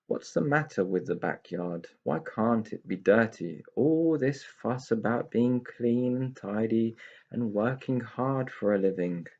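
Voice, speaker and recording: A man's voice: medium pitch, lively intonation, a little slow, a little mellow, dull audio. A man voicing a grumbling, idle, self-pitying pixie in a children's storybook, in a gloomy, whining way.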